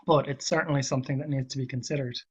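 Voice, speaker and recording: A man with a cold presenting to a webinar, speaking in a measured way and thinking aloud with fillers and restarts. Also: mid-range voice, fairly even intonation, husky. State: hoarse from a cold. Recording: dull lo-fi webinar audio.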